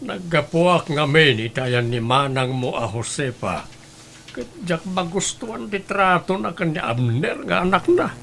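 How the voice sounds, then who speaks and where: Man voicing a stern old grandfather, gravelly tone, bright edge, slow and reproachful, family drama. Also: fairly high pitch, expressive, a little hiss or hum, heavily acted. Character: grave elderly grandfather, stern but caring. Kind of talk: Ilocano radio-style family drama.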